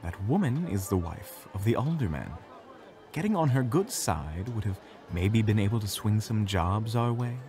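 A man playing a smooth-talking, snide adventurer in an audio drama, with a pompous, affected way of talking. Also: fairly low pitch, sing-song, moderate pace, mellow, soft background music. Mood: self-justifying.

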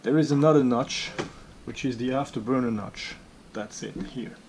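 A middle-aged man with phrasing explains a home-built cockpit calmly and step by step, talking off screen near the camera. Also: mid-range voice, normal speed. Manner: calm, step-by-step, non-native phrasing. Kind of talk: hands-on demonstration. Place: home workshop with a simulator cockpit. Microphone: camera mic, speaker off screen. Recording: clear background noise.

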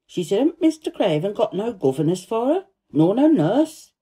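A woman playing a cheerful, warm-hearted young housemaid who gossips eagerly in broad Yorkshire dialect, in a storybook reading. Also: medium pitch, very animated, moderate pace, slightly rough. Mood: chatty, earnest.

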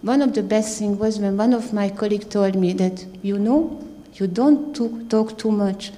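A woman in her fifties with a low voice, a scientist speaking earnestly on a panel with slightly halting phrasing, holding a handheld mic. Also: dark tone, big-room sound. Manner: earnest, proud, halting non-native phrasing. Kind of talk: panel discussion. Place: theater stage at a panel event.

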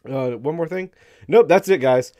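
A man in his thirties hosting a crypto live stream, hoarse and losing his voice, talking bluntly with wry laughs into a studio mic. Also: a little high, normal speed, warm tone. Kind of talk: casual opinionated commentary. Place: dark studio room, indoors. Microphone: studio mic on stand in front.

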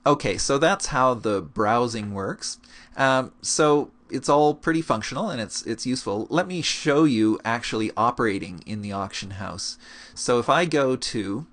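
A man walking through a web app in a relaxed screencast tutorial, explaining step by step with frequent fillers. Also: mid-range voice, moderate pace. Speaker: game gold-making guide. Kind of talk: screencast walkthrough.

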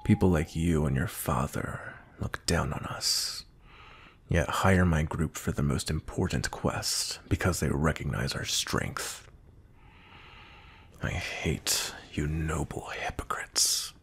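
A man playing a cold, grumpy vampire knight captain in an intimate ASMR roleplay, with a breathy, husky voice and a brooding manner. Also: very deep voice, takes it slowly, fairly bright tone, heavily acted. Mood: stern, reserved. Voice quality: breathy, husky, often near whisper.

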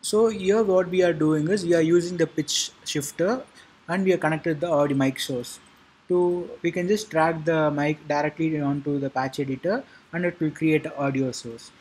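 A man narrating an informal software tutorial in a halting, repetitive way, with a husky voice. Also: on the high side, steady tone. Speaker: tutorial presenter.

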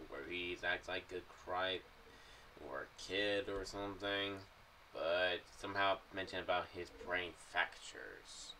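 A young man talks through his ideas in a casual, rambling vlog style. His voice is fairly low yet bright and forward, and he halts and repeats himself as he goes. He sounds somewhat far from the mic. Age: young adult. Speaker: YouTube vlogger. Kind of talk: casual commentary on a horror audio series. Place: small home room. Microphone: condenser mic with pop filter on boom arm. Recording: distant-sounding mic with faint background noise.

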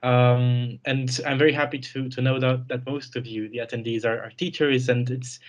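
A man's voice: medium pitch, flat delivery, moderate pace, lo-fi sound. Young Romanian man presenting over a video call. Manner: earnest, many fillers and repeated words. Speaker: guest speaker. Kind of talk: webinar presentation.

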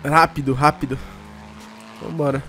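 A man talking in a casual way as he plays a roleplay game. He has a cold and throws in street slang and quick shouts. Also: a little high, little pitch movement, a little hiss or hum. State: congested with a cold. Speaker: roleplay streamer. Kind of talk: casual gameplay chat. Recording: music playing behind the voice.

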